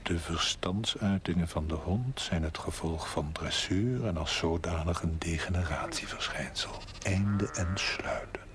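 Deep, slow, stern pious mentor. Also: male voice. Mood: stern, lecturing. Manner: measured, didactic, formal. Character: stern, philosophising male mentor, gravely pious. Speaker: actor in a television drama series. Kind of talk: 1970s Dutch television drama acting. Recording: 1970s TV soundtrack with loud noise.

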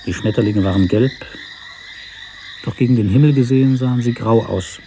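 A man reading a literary story as narrator in a measured, sober manner. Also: medium pitch, mellow, a little hiss or hum.

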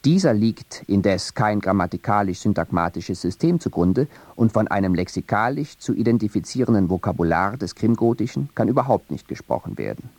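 A man reading a scripted radio feature narration in a measured, academic way. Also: lowish voice, animated, darkish tone, no echo.

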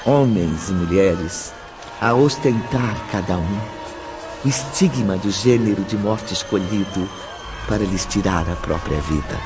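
A man's voice: deep voice, unhurried, over music. A man narrating a scripted radio drama in a solemn, theatrical manner.

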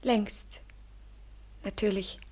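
An actress playing an anxious, vulnerable young woman in a 1970s German radio drama, speaking haltingly and often falling to a whisper. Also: mid-range voice, fairly even intonation, a little mellow, slightly rough. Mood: hurt, bitter.

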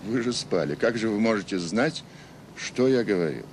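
A man's voice: lively intonation, normal speed, darkish tone. A man acting in an audio drama as a self-assured, sarcastic education official with an oratorical, condescending manner. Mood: sarcastic, polite. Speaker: conference speaker. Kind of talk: radio drama acting, formal speech. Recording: noisy 1980s audio play with rumble.

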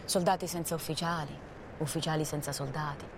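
An actress performing as a grave storyteller of a wartime retreat, in measured, solemn literary narration. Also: female voice, sits low, fairly even intonation, noisy background. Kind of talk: literary scripted narration of war history.

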